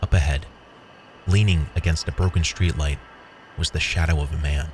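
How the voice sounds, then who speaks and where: A man's voice: a bass voice, steady tone, moderate pace, slight background noise. A man reading scripted first-person horror fiction as the narrator.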